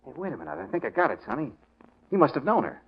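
An actor playing a brisk, shrewd American detective with a breezy, bossy manner, in a 1940s radio drama. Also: male voice, a little high, sing-song, vocal fry, slightly rough, full character voice. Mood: urgent, focused. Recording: muffled vintage radio recording.

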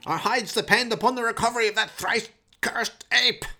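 A man's voice: extremely high pitch, normal speed, sharp tone, voice pushed up, full character voice. A man voicing a frantic mad scientist villain who pleads and barks orders in a radio play. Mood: desperate urgency.

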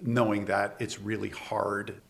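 Gravelly deep-voiced Canadian man host. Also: flat delivery, a little slow, fairly bright tone. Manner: conversational, probing. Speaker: podcast host. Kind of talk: interview questions.

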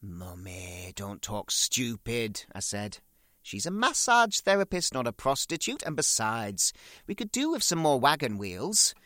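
A man's voice: medium pitch, wildly swooping pitch, unhurried, pushed and bright, no room sound, studio quality, full character voice. A man playing a fussy, pompous, middle-aged British son with petty grievances, in a comic dramatic monologue. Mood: exasperated. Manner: prim, pompous, florid wording, prone to indignation.

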